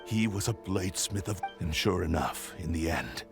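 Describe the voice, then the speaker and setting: A vengeful spirit bound in a blade speaks low and gravelly, with grand, swooping menace. He is proud and theatrical, in dramatic video game style, over clear background music. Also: expressive, normal speed. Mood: haughty.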